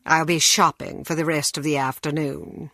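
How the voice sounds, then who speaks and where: A woman playing an English baron's brisk, irritable wife in a video game. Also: low-pitched, steady tone, pushed and bright, no room sound, clean studio sound, heavily acted. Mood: curt irritation. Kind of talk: scripted video game voice acting.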